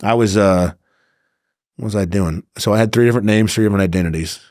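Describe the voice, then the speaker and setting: A man's voice: lowish voice, quick pace, darkish tone, dry recording, clean studio sound. A middle-aged man telling casual anecdotes as a podcast guest, acting out both sides of conversations. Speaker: podcast guest, former undercover agent.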